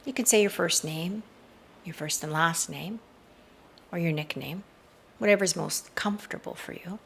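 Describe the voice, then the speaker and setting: Woman, lowish voice, gravelly fry, hushed meditation guide, measured phrasing, faint garden ambience. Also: slight background noise. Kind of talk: slow, soothing guided meditation with hypnotic induction. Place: outdoors in a garden. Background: faint outdoor garden ambience.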